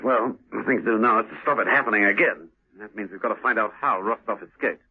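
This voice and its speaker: Brisk British secret agent, muffled old recording. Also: male voice, lowish voice, breathy edge. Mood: grave, businesslike. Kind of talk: 1970s radio drama acting.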